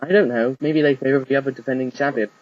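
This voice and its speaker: A reader voicing a laid-back male creature sidekick in a dry, low-key voice close to the reader's own. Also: fairly even intonation, fast, lo-fi sound, a little hiss or hum, lightly acted. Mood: deadpan.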